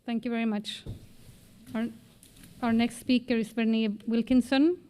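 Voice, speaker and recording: Slow, measured woman chairing a meeting. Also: a little high, fairly even intonation, dark tone, slight background noise. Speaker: meeting chair calling speakers. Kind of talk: procedural announcements. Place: city school committee meeting.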